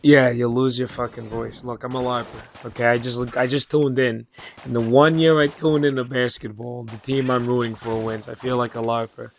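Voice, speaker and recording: A young man in his twenties whose voice is hoarse and raspy, worn down from shouting. He talks in a loose, halting late-night monologue, circling back with fillers and restarts and swearing casually. His voice sits at an ordinary middle pitch for a man. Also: level tone, soft background music. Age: 20s. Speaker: channel host.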